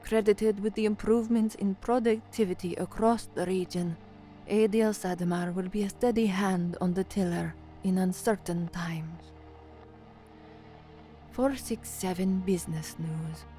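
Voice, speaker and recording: A young woman newsreader in an audio drama, played by an actress. She is anxious underneath and works at sounding composed, with a mid-pitched voice. Music plays along behind her. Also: steady tone, a little slow, mellow, natural voice. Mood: formal, composed. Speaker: radio newsreader and narrator. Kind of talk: audio drama dialogue, narration and formal newsreading.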